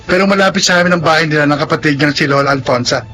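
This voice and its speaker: A man's voice: fairly high pitch, racing, pushed and bright. An actor playing a helpful, respectful young provincial man in a Filipino drama, speaking politely and earnestly. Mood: concerned. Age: young adult. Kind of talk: Filipino radio-style drama acting.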